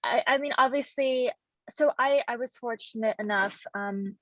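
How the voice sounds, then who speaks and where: A woman's voice: fairly high pitch, moderate pace, no room sound. A young woman born in the United States, interviewing a guest over a video call, polite and hesitant with frequent ums. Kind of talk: interview questions. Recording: dull, lo-fi video-call audio.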